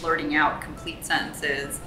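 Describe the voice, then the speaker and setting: A woman parent in a casual interview, telling a story in an animated way. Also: sits low, a little slow, echoey room, music in the background, low-quality recording.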